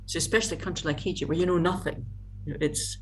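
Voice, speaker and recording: Scottish lady guest, deep-ish voice, lots of ums and restarts, faint noise behind. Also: poor audio. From Scotland. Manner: halting, many fillers and restarts. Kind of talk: casual video-call interview.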